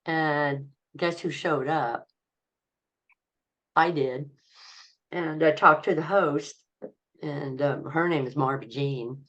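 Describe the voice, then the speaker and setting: An older woman, a comedian, telling stories from her life in a rambling, halting way as an interview guest, laughing often. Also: low-pitched, fairly bright tone, muffled recording. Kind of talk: casual interview storytelling.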